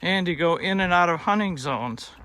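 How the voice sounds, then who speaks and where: A man's voice: high-pitched, animated, normal speed, sharp tone. A man casually narrating a hike outdoors on a trail in a plainspoken, matter-of-fact way. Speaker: hiking vlogger. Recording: outdoor recording with clear background noise. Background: outdoor nature ambience.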